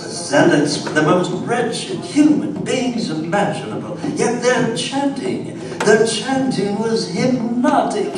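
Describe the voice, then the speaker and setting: A man as haunted gentleman, high-pitched for a man, theatrical horror narration, live radio theatre, distant mic in reverberant hall. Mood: brooding, suspenseful. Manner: theatrical, suspenseful, dwells on words. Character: haunted colonial Englishman recounting a horror tale. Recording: echoey hall, distant mics, live audience.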